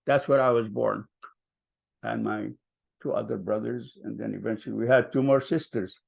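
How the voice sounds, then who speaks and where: An older man from Palestine, telling his family story at a vigil in a soft, hesitant way with many ums. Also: on the low side, fairly even intonation, moderate pace, creaky. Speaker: vigil speaker. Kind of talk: personal storytelling.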